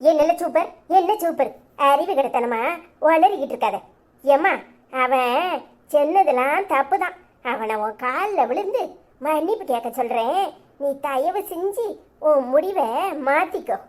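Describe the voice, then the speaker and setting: A woman voicing a sharp-tongued old grandmother who scolds loudly in rapid tirades. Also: high-pitched, animated. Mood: scolding.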